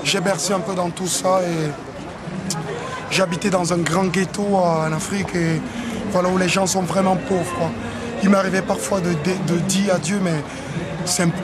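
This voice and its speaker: Interview clip: earnest young man from Cameroon, high-pitched, stumbles and repeats words, recorded outdoors in a city with loud music over the voice. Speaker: pastor and rapper. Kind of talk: interview testimony.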